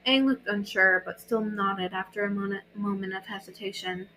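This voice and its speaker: Bright-voiced woman reading a story aloud. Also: mid-range voice, steady tone, moderate pace, slight background noise, poor audio. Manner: stumbles and rereads words. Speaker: amateur fan fiction narrator.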